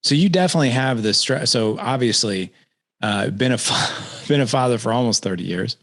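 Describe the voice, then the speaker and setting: A man's voice: mid-range voice, brisk. A man in his forties hosting a podcast interview, chatting casually and energetically, often repeating words. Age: 40s. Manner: energetic, repeats words, asks leading questions. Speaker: podcast host.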